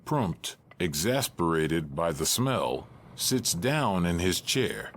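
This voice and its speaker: A man with a very low voice narrates stage directions from a script. His delivery is slow with lively swings in pitch, and there is faint noise in the background.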